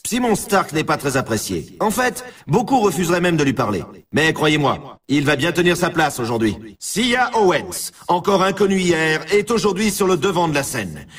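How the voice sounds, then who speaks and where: A man playing an excitable, grandiose snowboard championship announcer in a video game, delivering scripted, theatrical sports commentary. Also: a little high, normal speed, slightly rough, recorded from a distance, exaggerated voice. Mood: dramatic introductions. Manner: theatrical, grandiose, hyping the event.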